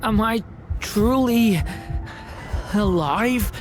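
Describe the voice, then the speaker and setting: A man voicing a gentle, earnest young dragon boy in a video game, soft and hesitant. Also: high voice, very slow, music in the background. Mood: weak, lost.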